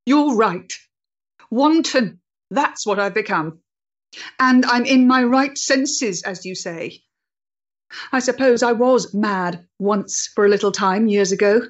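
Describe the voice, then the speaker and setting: A woman playing a proud, bitter, disillusioned woman in a period drama, speaking with intense deliberation and a husky voice. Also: a little high, slow, lo-fi sound. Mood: bitter, anguished. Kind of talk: period audio drama acting.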